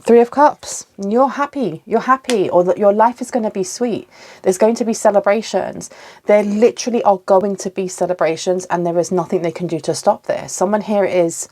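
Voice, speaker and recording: A woman's voice: mid-range voice, a little mellow. Woman reading tarot, slightly sniffly. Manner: musing aloud, pausing to ask the cards for clarity. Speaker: tarot reader. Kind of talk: solo tarot reading to camera.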